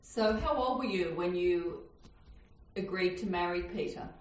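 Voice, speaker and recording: A woman's voice: fairly low pitch, unhurried. Woman interviewer, patient, short clarifying questions, roomy sound. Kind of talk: oral history interview. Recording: roomy, dull lo-fi recording.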